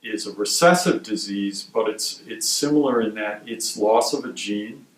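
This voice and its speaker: A man from Wisconsin who directs a research institute, giving measured, explanatory interview answers. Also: lowish voice. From Wisconsin, USA. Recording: roomy sound from a distant mic.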